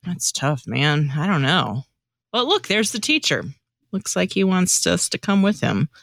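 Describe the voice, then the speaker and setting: A woman's voice: on the low side, big pitch swings, unhurried, vocal fry, a bit bright, dry recording, studio quality, heavily acted. A woman playing a chipper, blunt, competitive young male disciple in a biblical sitcom radio play, with peppy, casual buddy talk. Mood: concerned.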